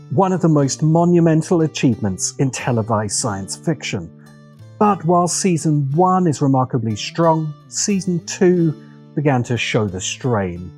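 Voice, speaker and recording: A man narrating a scripted video essay in a measured, dramatic way, with deliberate phrasing and pauses. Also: on the high side, darkish tone. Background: background music.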